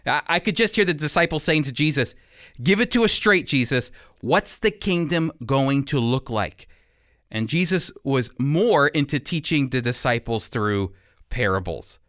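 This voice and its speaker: A man's voice: medium pitch, animated, moderate pace, a bit bright, dry recording, studio quality. A man preaching as a radio Bible teacher in a conversational sermon style, earnest and emphatic.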